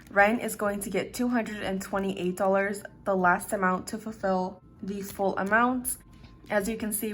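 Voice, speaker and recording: A young woman in her twenties vlogging casually to camera, her voice a little raspy from staying up late, talking in a relaxed, chatty way with plenty of "like" and "literally". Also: mid-range voice. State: slightly raspy from lack of sleep. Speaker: budgeting vlogger.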